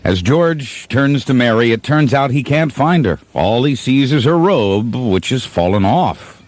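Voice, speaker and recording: A man's voice: medium pitch, lively intonation, measured pace, darkish tone. A man reading scripted narration that links scenes in a comedic radio play.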